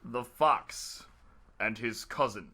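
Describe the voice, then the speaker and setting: A man's voice: mid-range voice, takes it slowly, pushed and bright. A man hosting a casual, parodic story reading, switching between chat and narration. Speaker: host, story reader.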